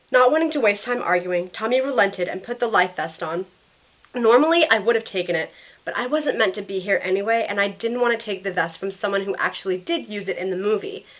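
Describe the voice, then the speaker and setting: A woman's voice: medium pitch, natural voice, rough recording. A woman playing a caring young heroine who narrates her own ordeal in a scripted first-person audio drama. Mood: anxious narration.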